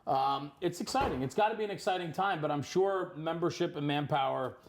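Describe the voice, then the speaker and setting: Higher-pitched, effusive middle-aged male host. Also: a little fast, slight background noise. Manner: effusive, encouraging. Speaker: interviewer, host. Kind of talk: casual on-location interview. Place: firehouse kitchen.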